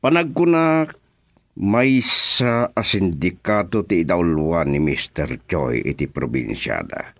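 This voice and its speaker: A man playing a deliberate, commanding crime boss in an Ilocano radio drama. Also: low-pitched, expressive, fairly bright tone, no room sound, studio quality, full character voice. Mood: scheming.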